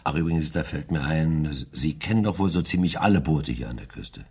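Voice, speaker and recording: An actor playing a deliberate, probing senior police detective in a German crime radio play, with a very deep, gravelly, husky voice. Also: male voice, expressive, a little mellow, exaggerated voice.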